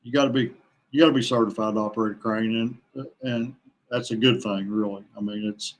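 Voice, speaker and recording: Older man, halting casual talk. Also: fairly low pitch, fairly even intonation. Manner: halting, repeats words. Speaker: podcast guest, kayak angler. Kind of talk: casual conversational interview answers.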